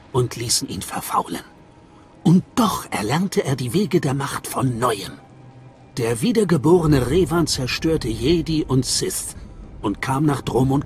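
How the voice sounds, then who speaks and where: Solemn, reverent cult guide, swooping intonation. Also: male voice, on the high side, a little mellow. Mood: mystical, instructive. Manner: measured, reverent, mystical delivery. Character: solemn male cult guide welcoming initiates. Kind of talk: dramatic video game voice acting in German.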